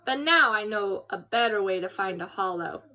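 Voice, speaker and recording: A woman voicing a wise, patient old grandfather bear in a children's storybook, gentle and reassuring. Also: medium pitch, animated, dull audio, lightly acted. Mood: wise, proud. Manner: gentle, unhurried, proudly reassuring. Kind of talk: children's storybook read-aloud.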